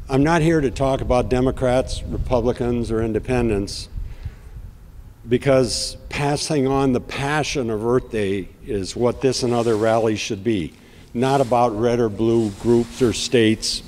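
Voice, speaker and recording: A middle-aged man with a raspy voice giving an earnest speech at a rally in a rhythmic, declamatory way. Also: mid-range voice, a little mellow, in a large hall. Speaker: outdoor TV host giving a speech.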